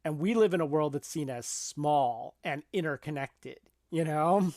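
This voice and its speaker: A man's voice: fairly high pitch, a little slow, a little mellow, dry recording, studio quality. A man co-hosting a podcast, explaining his points casually and often checking that the listener follows. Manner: explanatory, checks in with "right?" and "you know?". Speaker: podcast co-host.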